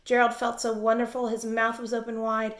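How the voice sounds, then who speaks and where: A woman reading a children's storybook aloud like a teacher, expressive and emphatic, addressing young listeners. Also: on the high side, fairly quick. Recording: echoey room.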